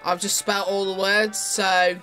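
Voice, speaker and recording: A young adult woman demonstrating a toy off the cuff, explaining as she goes in a halting way. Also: medium pitch, level tone, pushed and bright, soft background music, poor audio. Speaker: toy reviewer.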